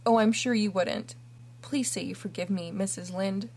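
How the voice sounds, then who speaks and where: A woman's voice: medium pitch, moderate pace, slight background noise, natural voice. A woman voicing an imaginative, dramatic orphan girl who talks earnestly and theatrically. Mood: beseeching.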